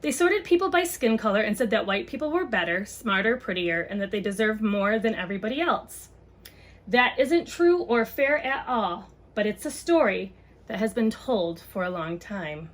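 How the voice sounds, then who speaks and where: A woman's voice: mid-range voice, very animated, moderate pace. A woman reading a children's book aloud and chatting casually to parents, explaining things simply.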